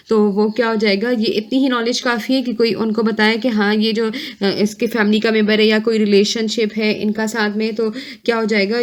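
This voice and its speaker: Female online lecturer, medium pitch, informal explanatory delivery, reads out points then paraphrases with frequent check-in tags. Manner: explanatory, often checking understanding with short tags. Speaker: law lecturer, online teacher.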